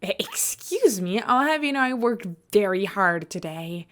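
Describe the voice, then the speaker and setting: A woman voicing a playful, excitable young male college student in a story, animated and mock-indignant. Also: mid-range voice, a little slow. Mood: indignant, complaining.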